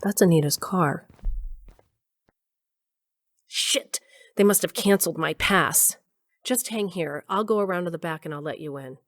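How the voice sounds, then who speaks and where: A woman's voice: on the low side, unhurried, long pauses, no room sound, studio quality. An actress playing a wry, daring woman who narrates her own covert adventure in a conversational audio drama style. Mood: tense. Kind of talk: audio drama acting with first-person narration.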